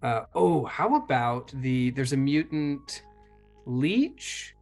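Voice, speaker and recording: A man's voice: medium pitch, animated, darkish tone. Man thinking aloud over faint music. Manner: deliberate, pausing to think aloud. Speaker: tabletop game player. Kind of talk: casual game talk, describing character actions.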